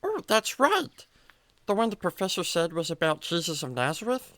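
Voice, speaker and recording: A woman's voice: on the low side, very animated, moderate pace, no room sound. A woman voicing a naive, curious young animal sidekick in a children's radio drama, with wide-eyed, slangy exclamations.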